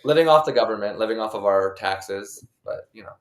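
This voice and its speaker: A man's voice: fairly low pitch, brisk, echoey room, noisy background. A chatty American man in his twenties from Ohio, casually telling personal stories as a podcast guest, with "like" sprinkled throughout. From Ohio, United States. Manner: chatty, peppered with "like". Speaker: podcast guest, content creator.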